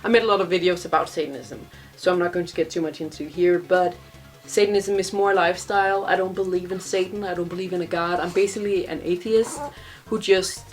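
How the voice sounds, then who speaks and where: A woman's voice: lowish voice, fairly even intonation, a little fast, faint music behind. A young woman from Denmark with a Danish accent, chatting casually in a vlog and rambling with many fillers. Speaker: vlogger.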